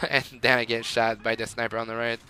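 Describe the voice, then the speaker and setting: A man's voice: mid-range voice, monotone, fairly quick, bright, forceful tone, a little hiss or hum. A young man with a Quebec French accent, rambling through casual gameplay commentary with lots of fillers. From Montreal, Canada. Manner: rambling and chatty, with frequent fillers and laughs. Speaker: gamer.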